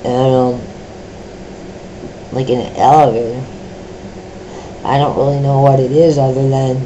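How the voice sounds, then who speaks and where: A middle-aged woman with a deep voice, sharing her own experiences in a personal vlog, speaking slowly with many pauses, ums and restarts. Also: little pitch movement, mellow, lo-fi sound, slight background noise. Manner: slow, halting talk with many fillers and restarts.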